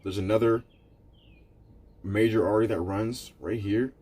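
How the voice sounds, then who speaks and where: A man's voice: fairly low pitch, fairly even intonation, unhurried, a little mellow, husky. A man live streaming, chatting casually with viewers in a rambling way, repeating himself and calling them "bro".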